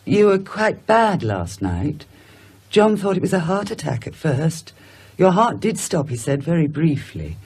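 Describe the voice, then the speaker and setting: An actress playing a brisk, practical, caring woman with a matter-of-fact manner in a naturalistic horror radio drama. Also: lowish voice, big pitch swings, a little mellow, husky, heavily acted.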